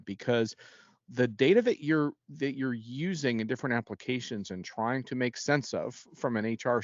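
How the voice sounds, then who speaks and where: A man's voice: mid-range voice, dry recording, lo-fi sound. A man speaking as a guest analyst in an unscripted panel discussion, in a conversational way with frequent self-corrections.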